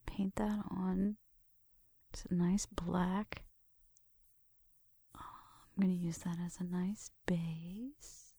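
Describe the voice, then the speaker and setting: Breathy, whispery flirty roommate played by a woman. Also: on the low side, steady tone, extremely slow, long pauses, no room sound, clean studio sound, full character voice. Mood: gentle, focused. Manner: soft whispering mixed with murmured talk, shy and teasing. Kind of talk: ASMR roleplay, intimate one-sided conversation.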